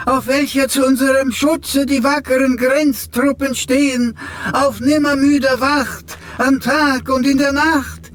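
Slow, theatrical cheeky computer sidekick. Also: high voice. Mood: mock-solemn. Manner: theatrical, mock-archaic, wordy. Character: chatty, cheeky talking computer sidekick with flowery servile speech. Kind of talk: radio play dialogue.

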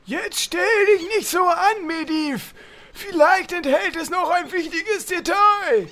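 Casting: bossy fantasy guild boss, extremely high squeaky voice, slow self-important delivery, parody radio play. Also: bright, forceful tone, full character voice. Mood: impatient. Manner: bossy, deliberate. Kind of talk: German comedy audio drama parody.

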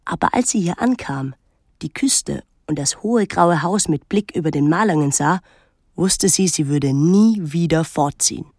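An actress playing an observant young island woman, narrating a literary radio drama in a measured, lyrical way. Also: low-pitched, expressive, a little mellow, dry recording, studio quality, heavily acted. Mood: reflective. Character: observant, reflective young island woman recalling her life. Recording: music under the voice. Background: music.

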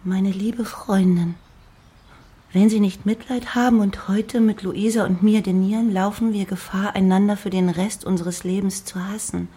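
A woman playing a gentle, modest young lady in a German radio play, softly reading in a measured way. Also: mid-range voice, steady tone, slightly rough, close to an everyday voice. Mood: calm reading. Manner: soft, measured letter reading.

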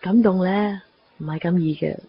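A woman playing a brisk, boastful young career woman in a Hong Kong Cantonese radio drama. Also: on the low side, close to an everyday voice. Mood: smug. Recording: muffled, music underneath.

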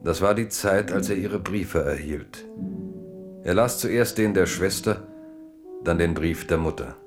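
A man with a deep voice narrates a literary radio play from the 1970s. His delivery is measured and lyrical, scripted storytelling with faint music underneath.

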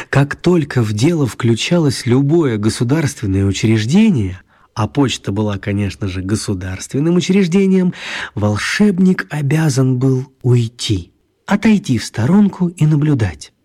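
Husky, wry male storyteller. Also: mid-range voice, animated, a little slow, darkish tone. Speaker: narrator. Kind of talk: scripted radio play narration.

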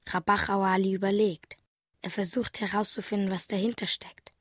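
A woman as the inner voice of a young, naive traveller in a radio drama. It is a husky, mid-pitched voice observing things in the present tense, recorded close with no room sound. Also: flat delivery, moderate pace, no room sound, natural voice. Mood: observant, naive.

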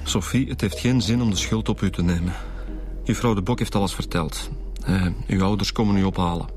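Sober, direct Flemish detective over music played by an actor. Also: male voice, on the low side, fairly even intonation, a little fast, natural voice. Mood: firm. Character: sober, sceptical male homicide detective. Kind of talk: naturalistic radio drama acting.

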